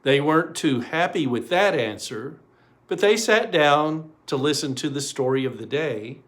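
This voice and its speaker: A man reading a children's story aloud in an expressive way, with deliberate pauses. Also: a little high.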